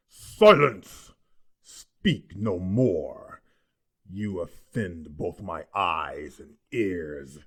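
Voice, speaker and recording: A cruel, commanding Satan in theatrical style. The voice is husky and digitally warped, drawling extremely slowly with wide, menacing swings of pitch. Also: male voice, full character voice. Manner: slow, imperious, threatening. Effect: digitally manipulated demonic voice. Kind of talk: theatrical stage villain.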